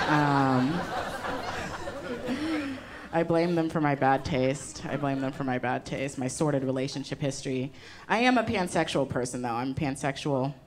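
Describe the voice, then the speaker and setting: A woman's voice: low-pitched, very noisy. A young woman doing a stand-up comedy set on stage with a handheld microphone, delivering conversational punchlines with frequent ums. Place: comedy stage before a live audience. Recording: live show with loud crowd laughter. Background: loud audience laughter.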